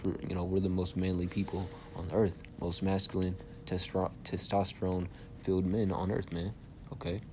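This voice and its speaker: A soft-spoken young man teaching scripture casually, reading verses aloud and checking in with "all right?" and "okay?". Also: deep voice, slight background noise. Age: young adult. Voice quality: soft-spoken by his own account. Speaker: Bible teacher.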